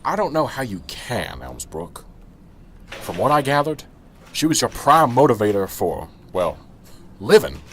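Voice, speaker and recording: A man's voice: medium pitch, big pitch swings, unhurried, a bit bright, slight background noise. A man playing a middle-aged, corrupt small-town police sergeant in an amateur radio drama. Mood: chummy, solemn. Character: corrupt small-town police sergeant, chummy until cornered, then indignant.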